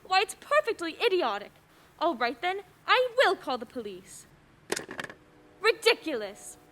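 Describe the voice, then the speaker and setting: A woman's voice: very high-pitched, big pitch swings, measured pace, a bit bright, slight background noise, exaggerated voice. A woman playing a fretful, haughty bedridden wife in a suspense radio play, agitated and insistent. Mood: indignant, demanding.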